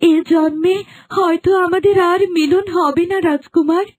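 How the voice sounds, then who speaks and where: A woman playing a gentle, sorrowful young woman turned lotus flower in a fairy-tale cartoon, tender and plaintive. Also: very high voice, fairly even intonation, moderate pace. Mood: tearful, sad. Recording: music behind the voice.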